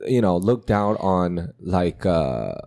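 A man's voice: low-pitched, lively intonation, slow pace, mellow. A man from Taiwan, a comedian guest on a podcast, chatting in a goofy, self-mocking way. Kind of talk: casual podcast chat.

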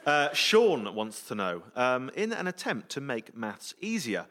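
A man hosting a live comedy radio show, brisk and jokey as he runs audience questions on stage. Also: mid-range voice, very animated, sharp tone. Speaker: host. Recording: live audience laughter and noise.

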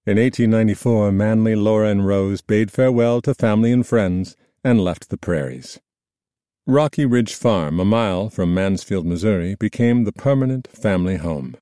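A man reading scripted nonfiction narration in a measured, formal storytelling manner. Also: on the low side, mellow, no room sound, clean studio sound. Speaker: audiobook narrator.

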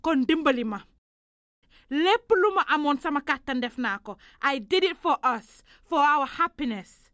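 A woman's voice: sits high, animated, moderate pace, fairly bright tone, no echo. A woman playing an anguished, proud mother in an audio drama. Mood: defiant, angry. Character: anguished, proud Senegalese mother, defiant and worn down.

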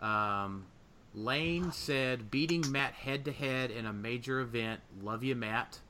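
A man hosting a casual gaming podcast, rambling and often pausing to gather his thoughts. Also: medium pitch, sharp tone, slight background noise. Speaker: podcast host and competitive gamer. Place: voice recording for a group podcast.

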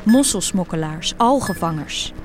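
A woman playing an earnest schoolgirl, proud of her fish farmer father, narrating a radio play in a measured storytelling way. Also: on the low side, lively intonation, soft background music. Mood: earnest, recounting.

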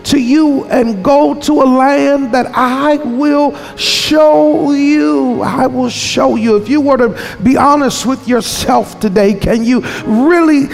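A man's voice: very high-pitched, steady tone, takes it slowly, vocal fry, hardly any pauses. A man preaching a sermon in an emphatic, repetitive cadence that sometimes slides into half-singing. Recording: reverberant hall, faint music behind.

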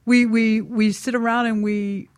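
A woman's voice: fairly high pitch, level tone, a little slow, clear, resonant tone, dry recording. A woman speaking earnestly and emphatically in a casual radio discussion, repeating phrases for emphasis.

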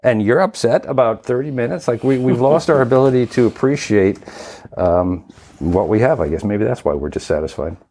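A man's voice: medium pitch, normal speed, mellow. A man co-hosting a podcast in casual discussion, telling anecdotes and steering toward a wrap-up. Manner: storytelling, wraps up discussion.

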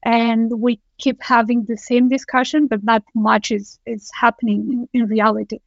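A woman's voice: fairly high pitch, flat delivery, normal speed, vocal fry, dry recording. A woman from Romania, a guest speaking thoughtfully on a video call, often restarting her sentences. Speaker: guest engineering director. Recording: dull, dry lo-fi call audio.

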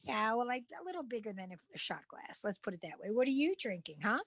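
A woman's voice: on the high side, sing-song, fast, breathy edge, dry recording, clean studio sound. A woman co-hosting a casual podcast, easygoing and agreeable, emphatic when giving advice. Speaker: podcast co-host.

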